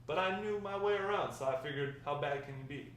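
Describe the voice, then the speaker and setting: A man's voice: a little high, fairly bright tone, natural voice. Echoey, distant man reading as a chatty nostalgic grandfather. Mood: reminiscing. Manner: conversational, rambling storytelling with hesitant pauses. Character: nostalgic, talkative Mexican-American grandfather, warm and encouraging. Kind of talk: amateur table read of a script. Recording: echoey room, distant mic, faint noise.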